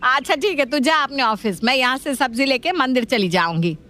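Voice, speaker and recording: Woman playing a kindly, high-voiced grandmother. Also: expressive, fairly quick, bright, forceful tone, slight background noise. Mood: homely. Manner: homely, indulgent. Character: kindly, gullible, doting grandmother and mother-in-law. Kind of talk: animated family story dub.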